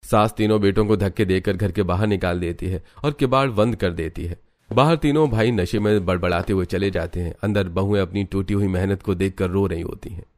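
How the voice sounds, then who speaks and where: A man's voice: sits low, a little fast. A man reading scripted narration for a cartoon story in the present tense.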